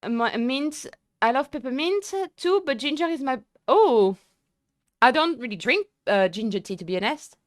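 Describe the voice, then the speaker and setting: A young woman streamer chatting casually and excitedly with viewers, full of fillers and restarts. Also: high-pitched, normal speed, no echo, studio quality. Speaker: sim racing streamer. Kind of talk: casual live-stream chat.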